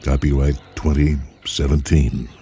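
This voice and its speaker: Deep, dark, expressive male story narrator with faint music behind. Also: unhurried. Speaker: host and narrator.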